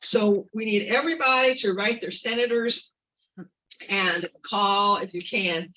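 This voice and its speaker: A woman giving an earnest, informal activist talk at a community meeting, halting with fillers and restarts. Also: mid-range voice, pushed and bright, recorded from a distance, lo-fi sound. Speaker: activist speaker.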